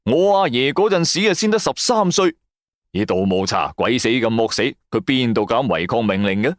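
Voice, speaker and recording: A man's voice: mid-range voice, lively intonation, moderate pace, dry recording, clean studio sound. A man with a Hong Kong Cantonese accent narrating a first-person story for a radio drama in a colloquial storytelling manner. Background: music.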